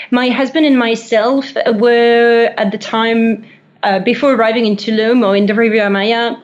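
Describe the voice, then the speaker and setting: A woman with a French accent, English not her first language, tells her story in a somewhat high voice. She speaks haltingly, with many fillers and self-corrections. The audio is dull and muffled, like a call recording. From France. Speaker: guest, dive instructor. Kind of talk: interview storytelling.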